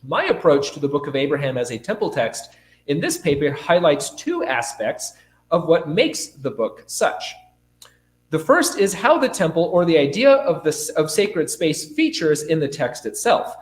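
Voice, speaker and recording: A man's voice: on the high side, sing-song. A young man with a cold, formally reading a scholarly paper aloud as a conference speaker. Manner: formal, measured delivery. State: fighting a cold and cough. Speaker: conference speaker, academic presenter. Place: indoor conference room. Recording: roomy, echoing lecture space.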